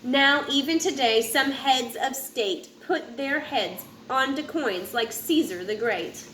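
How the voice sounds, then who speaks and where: A woman reading a rhyming children's picture book aloud in an expressive, sing-song way, occasionally stumbling over a word. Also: sits high, takes it slowly. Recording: roomy echo with faint background noise.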